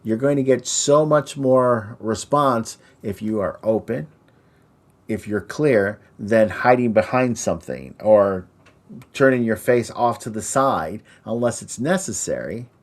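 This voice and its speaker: A man with a fairly low voice co-hosts a talk on performance technique. He explains each point deliberately and addresses the listener directly, like an instructor. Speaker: podcast co-host and Christmas performer. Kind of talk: instructional discussion on storytelling.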